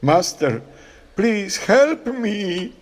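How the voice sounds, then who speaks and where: A man playing a timid, doomed underling in an audio drama, speaking in a halting, anxious, gravelly voice. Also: sits high, sing-song, heavily acted. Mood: terrified pleading. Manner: halting, drawn-out. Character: timid, doomed male underling, hesitant and pleading.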